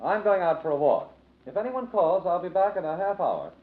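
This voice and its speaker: An actor playing a witty, penniless lodger with florid, mocking speech in a 1940s radio drama. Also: male voice, high-pitched, very animated, a little fast. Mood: puzzled, suspicious. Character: witty, penniless male lodger, grandiloquent and sardonic. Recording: dull vintage radio recording.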